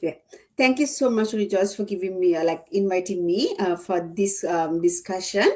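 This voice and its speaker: Woman guest from Sri Lanka, 30s, mid-pitched, halting answers full of fillers. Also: mellow. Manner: hesitant, many "like" and "uh" fillers. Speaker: guest, teacher aide. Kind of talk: interview answers.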